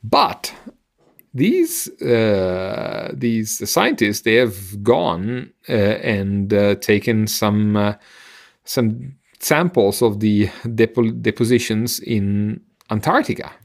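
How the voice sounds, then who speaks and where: A middle-aged man explains science on a podcast in a fairly low voice with plenty of vocal fry. He talks like a patient teacher, pausing and halting as he goes, in a conversational style. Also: expressive. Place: indoor room on a video call. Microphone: headset and microphone close up.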